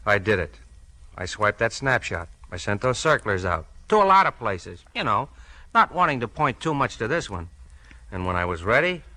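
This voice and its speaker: A man's voice: medium pitch, pushed and bright, no room sound. A man with an American accent acting a folksy, resigned crooked policeman in a 1940s radio crime drama, talking in rueful slang.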